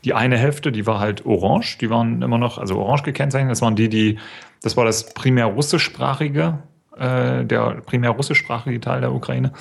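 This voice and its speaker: A man's voice: mid-range voice, fairly even intonation, fairly quick, vocal fry. A man in a casual discussion, talking in a loose, wry and slangy way.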